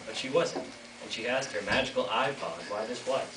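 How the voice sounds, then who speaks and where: A man's voice: mid-range voice, a little fast, far from the mic. Teen boy, playful school-play narrator, echoey room, noisy, heard from afar. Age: teens. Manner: playful, jokes with the audience. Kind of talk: reader's theater narration to a live audience. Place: school classroom or hall.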